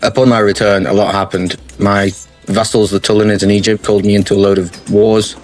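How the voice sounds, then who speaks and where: A man's voice: on the low side, little pitch movement, a little fast. A man commentating on his own strategy game playthrough, explaining each move step by step in a matter-of-fact way. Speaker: gaming channel host. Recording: faint music bed under the voice.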